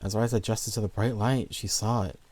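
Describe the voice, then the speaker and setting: Dark, low-voiced man narrating horror. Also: steady tone, a little fast. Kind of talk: scripted horror story narration.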